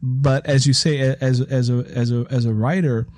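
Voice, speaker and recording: A man's voice: mid-range voice, steady tone, moderate pace, darkish tone, no room sound. A man interviewing as a fellow writer, explaining his thoughts in a reflective, conversational way and circling back over phrases.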